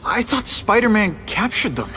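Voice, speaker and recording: A man's voice: high-pitched, very animated, moderate pace, bright, forceful tone, heavily acted. An actor playing a young male superhero in a video game, speaking in a hesitant, conversational way. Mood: curious, uneasy. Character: young male superhero posing as a polite reporter. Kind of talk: naturalistic video game acting.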